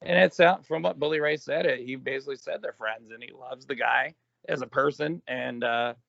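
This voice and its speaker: A man co-hosting a casual wrestling podcast, raspy and hoarse, rambling with lots of ums and restarts. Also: medium pitch, brisk, a bit bright, no echo, highs cut off. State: hoarse from smoking. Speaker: podcast co-host.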